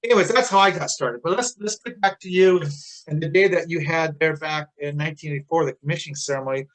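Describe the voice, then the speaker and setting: A man's voice: fairly high pitch, quick pace, low-quality recording. A man in his sixties doing a casual interview, talking in a chatty, rambling way. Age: 60s. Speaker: interviewer, Navy veteran.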